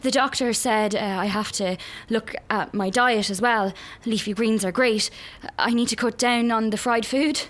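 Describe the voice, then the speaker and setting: An actress with an Irish accent playing an anxious, fragile young woman in a radio drama, halting and unsure as she speaks. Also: fairly high pitch, fairly even intonation, a bit bright, close to an everyday voice. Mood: fragile, nervous. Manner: hesitant, halting. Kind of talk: naturalistic radio drama acting.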